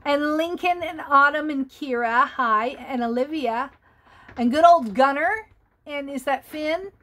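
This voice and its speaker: Older woman as a children's storyteller: playful, chatty, high voice, slow and unhurried delivery. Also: fairly bright tone. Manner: asks the young listeners questions. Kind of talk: reading a picture book aloud to children.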